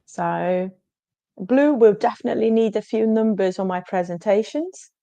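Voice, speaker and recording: A woman guest whose first language is Portuguese speaks earnestly and with emphasis, often agreeing firmly. Her pitch sits in a middle range. The sound is dry, with no room echo, over a video call. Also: normal speed, creaky, warm tone, lo-fi sound. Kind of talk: casual interview answers.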